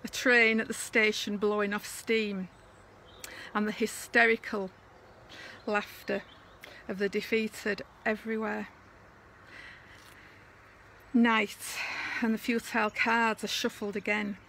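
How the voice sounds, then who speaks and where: Slow, bright-voiced woman reciting poetry. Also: mid-range voice, little pitch movement, a little hiss or hum. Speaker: poetry reader.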